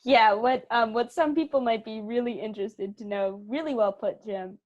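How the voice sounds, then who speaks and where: A woman's voice: a little high, moderate pace, dull audio. A young woman giving conversational interview answers in an effusive, emphatic way. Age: young adult. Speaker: interview guest, theatre company co-founder.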